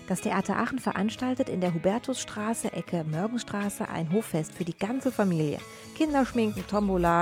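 A woman's voice: medium pitch, a little mellow. A woman presenting scripted event news for children on a radio show.

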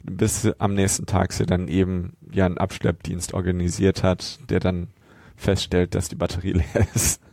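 A man's voice: low-pitched, little pitch movement, normal speed, creaky, hardly any pauses, warm tone, no echo. A man, co-hosting a podcast and telling casual anecdotes. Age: 30s. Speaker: podcast co-host.

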